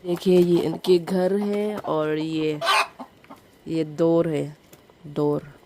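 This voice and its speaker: Northeast indian lady doing a casual village vlog, lowish voice, lots of background noise. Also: flat delivery, drawn-out words. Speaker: vlogger. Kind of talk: casual home vlog chat. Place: village home, indoors and out in the yard. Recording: noisy handheld home vlog recording.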